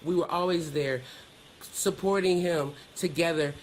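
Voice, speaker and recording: A woman's voice: lowish voice, a little slow, a little hiss or hum, low-quality recording. A middle-aged American woman telling personal stories candidly as an interview guest, with emphatic, colloquial delivery. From Georgia, United States.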